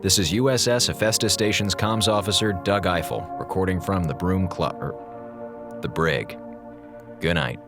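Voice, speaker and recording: Sarcastic, defiant comms officer. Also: male voice, low voice, over music. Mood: weary. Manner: sarcastic, theatrical, quick with jokes. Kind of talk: audio drama acting.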